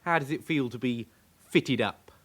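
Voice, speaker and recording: An actor playing a cocky, defiant young criminal with a London accent and slangy, aggrieved manner in a radio crime drama. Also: male voice, mid-range voice, normal speed, slight background noise, lightly acted. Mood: menacing, sneering.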